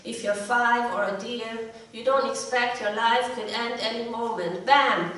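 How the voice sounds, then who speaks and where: A middle-aged woman with a slightly croaky voice, reading her poetry in a measured, deliberate way at a live event. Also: a little high, fairly even intonation, fairly bright tone. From Hungary. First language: Hungarian. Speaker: poet. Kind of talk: live poetry reading with short spoken introductions. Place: indoor live event room. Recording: echoey room, distant mic.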